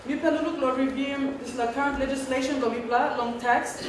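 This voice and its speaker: This is a high-voiced woman with a Papua New Guinean accent. She answers formally as a government speaker in a parliament, partly improvising. Her voice rings around a very echoey room, with faint background noise. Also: steady tone, fairly quick. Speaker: government respondent in a practice parliament. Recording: very echoey hall, distant mic, faint noise.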